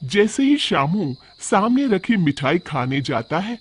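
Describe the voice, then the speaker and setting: A man's voice: high-pitched, very animated, takes it slowly, husky. A man narrating a cartoon story in a dramatic, scripted storytelling style, on a noisy recording.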